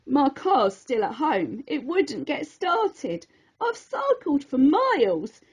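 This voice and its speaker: A woman voicing a nervous, excitable young male student in a rhyming storybook, jumpy and breathless, slightly dull recording. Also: high-pitched, slow, heavily acted. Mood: downhearted.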